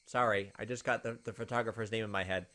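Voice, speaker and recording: A man's voice: lowish voice, steady tone, fast, pushed and bright. A young man hosting a gaming video, reading a visual novel aloud with casual asides.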